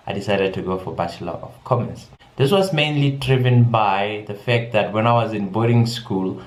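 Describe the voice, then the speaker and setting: A young man from South Africa chatting casually in a personal vlog, often repeating words as he goes. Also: fairly low pitch. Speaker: vlogger. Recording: echoey room with music behind.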